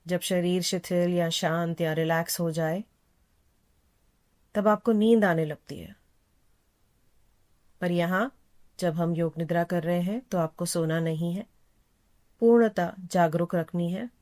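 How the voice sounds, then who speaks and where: A woman with a slightly low voice guides a relaxation practice as a yoga nidra instructor. She speaks calmly and without hurry, in a soothing way. Instructions come in short, repeated phrases. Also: slow, pauses often. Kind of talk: slow guided meditation instruction.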